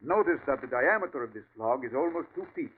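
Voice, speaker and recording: An actor playing a calm, courteous Martian invader who speaks with cold condescension in an old radio drama. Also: male voice, medium pitch, fast, slightly rough. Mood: smooth menace. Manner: suave, courteous, coldly condescending. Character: calm superior male Martian invader posing as ranch host. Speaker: villain. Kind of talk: 1940s radio acting. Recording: tinny old radio broadcast with faint hiss.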